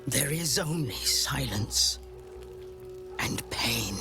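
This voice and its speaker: A desolate, slow-speaking grieving father. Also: mid-range voice, lively intonation, over music. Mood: desolate grief. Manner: slow, sorrowful. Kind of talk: dramatic fantasy video game acting.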